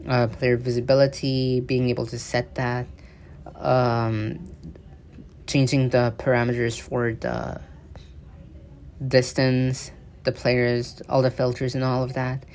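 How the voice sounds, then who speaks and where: Gravelly-voiced man explaining game features. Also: medium pitch, completely flat delivery, slow, long pauses, darkish tone, slight background noise. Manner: conversational, loose with fillers and restarts. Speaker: gaming news video creator. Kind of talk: casual explanatory commentary over gameplay footage.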